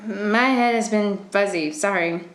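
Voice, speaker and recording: A woman's voice: mid-range voice, unhurried, natural voice. A young woman acting in a radio drama as a kind, guarded student with a dry sense of humour. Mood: uneasy. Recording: echoey room, music behind.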